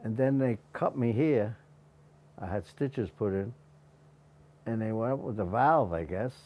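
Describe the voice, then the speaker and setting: A man in his nineties telling his own story in a halting, plain way for an interview. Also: lowish voice, dull audio. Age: 90s. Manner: plain, halting, with restarts and small laughs. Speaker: interviewee, patient.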